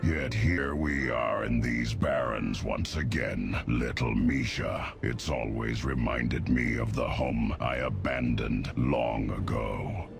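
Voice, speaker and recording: A very deep, gravelly half-orc wanderer. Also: male voice, music in the background, full character voice. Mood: wistful. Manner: slow, solemn, weighty delivery. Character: gruff, stoic half-orc wanderer and beastmaster, weary loner. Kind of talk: fantasy game cutscene acting.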